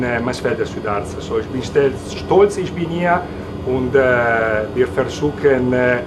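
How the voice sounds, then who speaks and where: An Italian man speaks German haltingly, searching for words and filling gaps with hesitations. His pitch sits in an ordinary middle range. Steady machine hum runs under his voice. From Italy. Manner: halting non-native German with fillers. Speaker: ice cream maker.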